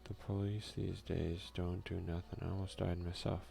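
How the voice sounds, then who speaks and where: A soft-spoken man doing an ASMR let's play, reading game text aloud and commenting in a hushed voice that at times slips into a whisper. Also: sits low, flat delivery, normal speed, vocal fry. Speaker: gaming video creator and player.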